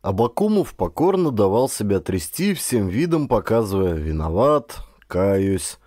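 A man's voice: mid-range voice, sing-song, normal speed, dark tone, no room sound, studio quality. A man reading a first-person adventure story as an audiobook narrator, in a scripted literary style.

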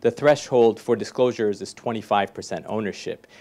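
A man's voice: fairly low pitch, normal speed, darkish tone. A man in the audience, pressing an earnest point about disclosure. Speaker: audience member.

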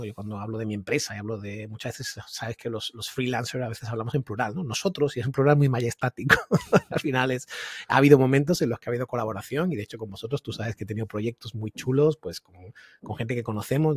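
A middle-aged man from Seville with a Spain accent and a husky voice, talking casually and chattily as an interview guest, often digressing and adding tag questions. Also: medium pitch, a little mellow, no echo, studio quality. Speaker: podcast guest, agile consultant. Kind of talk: casual reflective interview talk. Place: indoor room, video call. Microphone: large microphone close to mouth, earphones.

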